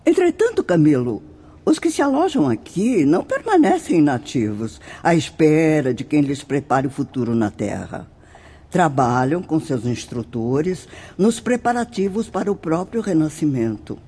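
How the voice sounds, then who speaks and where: High, swooping, fatherly spirit mentor. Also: very animated, moderate pace, warm tone, breathy edge, heavily acted. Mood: earnest, instructive. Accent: Brazilian. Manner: formal, emphatic, preaching. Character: solemn fatherly spirit mentor, earnest and benevolent. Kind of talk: radio drama acting, didactic.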